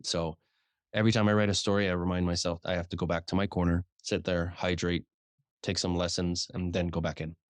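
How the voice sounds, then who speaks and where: Casting: frank male journalist guest, low voice trained upward, casual swearing, dry close sound. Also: level tone, dry recording. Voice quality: deliberately raised speaking voice, naturally deep. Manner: frank, swears casually, repeats words when starting sentences. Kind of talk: casual interview chat.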